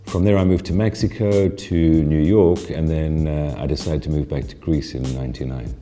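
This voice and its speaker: A man answering interview questions, telling his story in an animated way with frequent restarts. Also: very deep voice, moderate pace, faint music behind. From South Africa. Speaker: interviewee, honoree.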